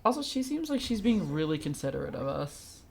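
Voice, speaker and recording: Low, creaky-voiced woman streaming a game. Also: very animated, fairly quick. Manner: reactive, informal. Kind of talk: casual live gameplay commentary.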